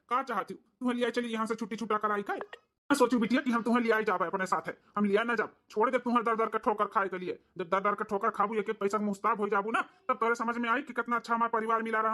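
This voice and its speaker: A performer plays a reproachful village father in a cartoon family comedy. He lectures quickly in an even, sharp-edged tone, with a clear Awadhi accent. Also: mid-range voice, lightly acted. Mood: stern reproach. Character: stern village father, apologetic to in-laws, scolding his daughter.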